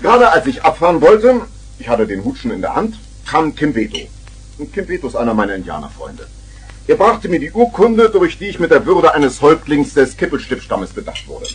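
Husky, boastful, excitable uncle. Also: male voice, fairly high pitch, a little fast, full character voice, poor audio. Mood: excited storytelling. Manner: theatrical, rambling storyteller. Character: boastful, excitable eccentric uncle spinning tall tales. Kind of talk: 1970s German children's radio play acting.

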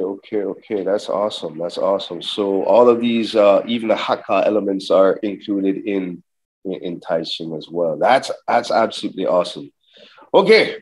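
A man hosting a video call interview, asking questions in a halting way and repeating words. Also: fairly low pitch, a little mellow, low-quality recording. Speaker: interview host. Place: indoor home gym. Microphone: video call, webcam close-up.

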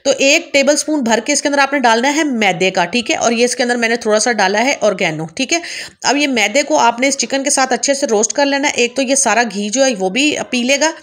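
A woman's voice: on the high side, fairly quick, fairly bright tone. Woman teaching cooking. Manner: patient, repeats "theek hai" to check in with viewers. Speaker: cooking channel host. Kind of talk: step-by-step cooking instruction. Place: home kitchen.

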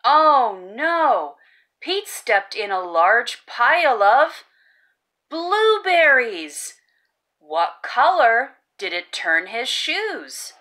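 A woman with a bright, high voice reads a children's picture book aloud. Her delivery is expressive and exaggerated, swooping widely in pitch and stretching key words for young listeners. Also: very slow. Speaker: children's story reader, host. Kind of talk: animated children's read-aloud.

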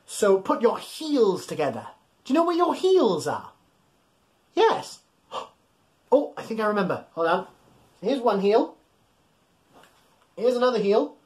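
A man in his thirties reads a picture book to small children in a slow, measured pace. His pitch swings widely as he playfully exaggerates and coaxes his young listeners to join in. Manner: animated, physically acting along. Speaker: children's storytime host. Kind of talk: interactive read-aloud of a children's picture book. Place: small indoor room at home.

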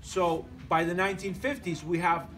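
A middle-aged man from Argentina with an Argentine accent, giving a relaxed, joking lecture to an audience and often restarting his phrases. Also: high voice, normal speed. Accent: Argentine Spanish. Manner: conversational, joking, many restarts. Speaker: musician lecturer.

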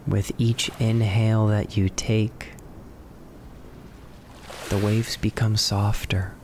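A man leads a guided relaxation in a low, very even voice. He speaks soothingly in short phrases with long pauses between them. Clear wave noise runs behind his words. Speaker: guided meditation narrator. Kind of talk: slow guided relaxation narration. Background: ocean waves and relaxation music.

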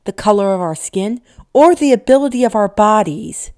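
A woman's voice: mid-range voice, animated, moderate pace, a little mellow, a little hiss or hum. A woman reading polished scripted narration as a voice-over artist.

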